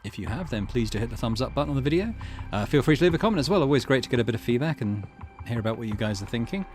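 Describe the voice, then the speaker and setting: A man's voice: medium pitch, animated, very fast, a little mellow, a little hiss or hum. A man hosts a gaming video in an easygoing, casual way, working things out aloud. His mid-range voice moves up and down freely, and game music plays behind him. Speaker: gaming channel host. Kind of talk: casual let's play commentary.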